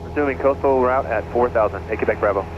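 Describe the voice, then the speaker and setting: A man's voice: mid-range voice, fast. A man, a student pilot, making clipped calls and readbacks over aircraft radio. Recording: muffled cockpit radio audio, loud aircraft noise.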